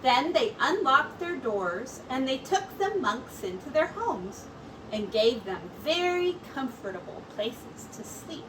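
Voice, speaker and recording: A woman teacher reading a storybook aloud to children in an expressive, deliberate way with drawn-out words. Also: high voice. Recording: distant mic with clear background noise.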